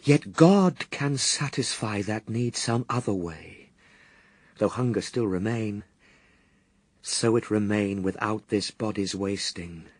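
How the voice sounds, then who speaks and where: Slow, patient holy man. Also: lowish voice, no echo. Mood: pensive, resolute. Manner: measured, introspective, restrained. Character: Christ, hungry, patient, steadfast man fasting in wilderness. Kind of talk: classical radio verse soliloquy.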